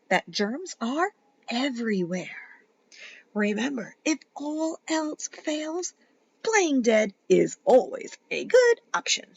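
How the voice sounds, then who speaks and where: A woman with a high, unhurried voice reads a picture book to young children. She is playful and dramatic, leaning into key words and pausing for effect. The sound is slightly dull and lo-fi. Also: no echo. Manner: dramatic, playful, with emphatic pauses and whispers. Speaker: teacher reading a children's picture book aloud. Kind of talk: expressive children's storybook read-aloud.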